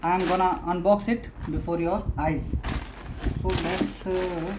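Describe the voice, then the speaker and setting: A woman hosting a casual product unboxing, talking haltingly in short phrases with pauses. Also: on the low side, steady tone, heavy background noise. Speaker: unboxing video host.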